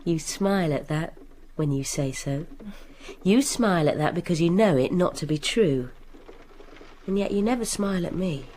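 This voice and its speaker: An actress playing a weary, sorrowful young seamstress in a radio drama, tender and plaintive, lingering on repeated phrases. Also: female voice, fairly low pitch, animated, normal speed, warm tone, slight background noise, natural voice. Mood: tender concern. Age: young adult.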